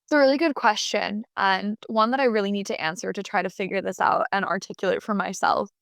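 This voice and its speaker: A woman in her twenties from the United States talks through her answers as an interview guest. She is thoughtful and leans on fillers and restarts. Her voice sits at a middling pitch, and the recording is close and dry with no room sound. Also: steady tone, fast, vocal fry, fairly bright tone, no echo. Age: 20s.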